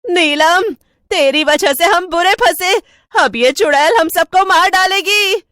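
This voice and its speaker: A woman's voice: very high-pitched, slow, a bit bright, no room sound, clean studio sound, voice pushed up, heavily acted. A woman voicing an anxious, wary housewife who is easily frightened, in a cartoon horror story. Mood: panicked. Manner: worried, fretful.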